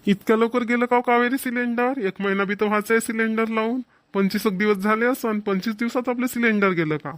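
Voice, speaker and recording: A man's voice: high-pitched, fairly even intonation, fast, hardly any pauses, fairly bright tone, dry recording. A man playing a broke village father, scolding yet coaxing, in a cartoon comedy with a Vidarbha Marathi accent.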